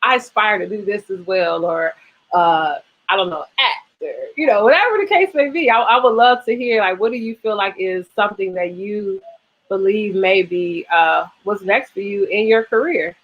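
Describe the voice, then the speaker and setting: A woman's voice: medium pitch, moderate pace. American woman host on dull lo-fi audio. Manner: effusive, encouraging. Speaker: interview host. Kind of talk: casual conversational interview.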